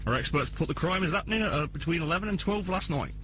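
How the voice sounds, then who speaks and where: A matter-of-fact police inspector, played by a man in a comic radio play. He speaks drily in a bright, forceful voice, with faint noise behind him. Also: a little high, expressive, quick pace.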